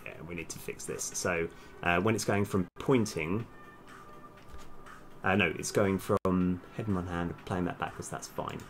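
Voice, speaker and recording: A man's voice: low voice, fairly even intonation, unhurried. A man chatting casually on a live coding stream, hesitant as he thinks aloud and trails off. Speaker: live-streaming game developer. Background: music, keyboard typing.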